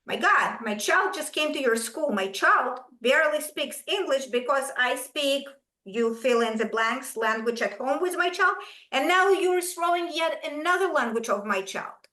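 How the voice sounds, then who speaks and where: A middle-aged woman from Ukraine with a Russian accent, a native Russian speaker, giving an animated, emphatic presentation to parents. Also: sits high, a little slow. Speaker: curriculum presenter. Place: school event room. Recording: echoey room.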